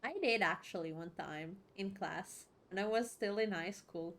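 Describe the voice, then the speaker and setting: A woman giving casual let's play commentary, in a halting, giggly way with repeated words. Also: lowish voice, fairly bright tone. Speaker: gaming streamer.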